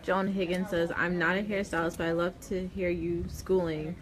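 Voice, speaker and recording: A woman's voice: on the low side, flat delivery, normal speed. A woman in a hair salon reading viewer comments aloud in a plain, matter-of-fact way.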